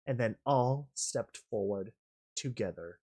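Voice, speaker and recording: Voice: mid-range voice, expressive, slow pace, dark tone, breathy edge. A narrator reading a story expressively and reacting with casual commentary, often repeating phrases for emphasis.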